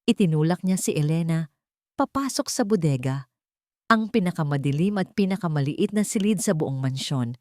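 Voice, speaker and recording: A woman's voice: low voice, animated, moderate pace, warm tone, no echo, clean studio sound. A woman reading a scripted story as a dramatic narrator.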